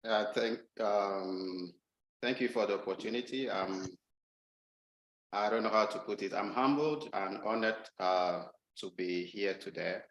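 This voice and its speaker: A man's voice: lowish voice, unhurried, a bit bright, roomy sound, low-quality recording. A man from Ghana giving a guest talk over a video call, speaking hesitantly with many ums and restarts. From Ghana, Volta region. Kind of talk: casual online talk.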